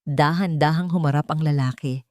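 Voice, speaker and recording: A woman's voice: sits low, fairly even intonation, normal speed, darkish tone, no echo. A woman reading a dramatic scripted story as its narrator.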